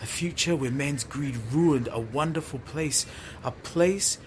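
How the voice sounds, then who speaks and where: A man's voice: a little high, unhurried, a little hiss or hum, lightly acted. A man playing a time-travelling fisherman who tells his story in the first person, theatrical and anguished, in an audio drama. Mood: subdued, resolute. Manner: theatrical, swings from breathy murmur to anguished shouting.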